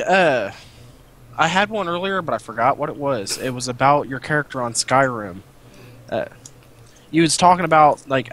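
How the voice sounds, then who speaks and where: A man's voice: mid-range voice, a bit bright. A man talking casually as a guest on a gaming live stream, explaining things in a plain, matter-of-fact way. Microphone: cheap headset.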